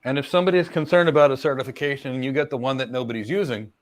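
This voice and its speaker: A middle-aged man, a career coach, answering viewer questions in a casual live Q&A, talking confidently in long runs with repeated words and quick asides. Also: mid-range voice. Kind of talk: live Q&A, casual explanatory talk.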